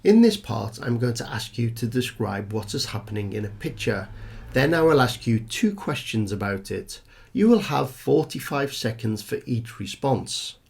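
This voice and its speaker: A man's voice: medium pitch, very animated, normal speed, creaky, a little mellow, breathy edge. A man reading scripted exam questions and instructions as an examiner.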